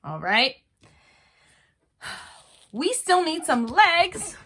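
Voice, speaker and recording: A woman teaches young children to draw, one step at a time. Her voice is bright and swings widely in pitch. She takes it slowly, using simple and encouraging phrases as an elementary art teacher would. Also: big pitch swings.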